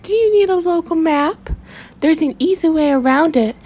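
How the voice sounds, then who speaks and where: A woman's voice: extremely high pitch, lively intonation, moderate pace, a little mellow, slight background noise, pitched above the performer's own voice. A woman voicing a perky, helpful little sea creature in a children's storybook. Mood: eager, helpful.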